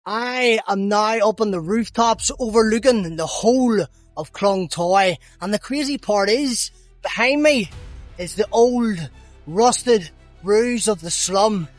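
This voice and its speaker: A man's voice: sits high, takes it slowly, no echo, soft background music. A man with a Belfast Northern Irish accent, presenting an upbeat travel vlog and interviewing people outdoors in a city. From Northern Ireland. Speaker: travel vlogger.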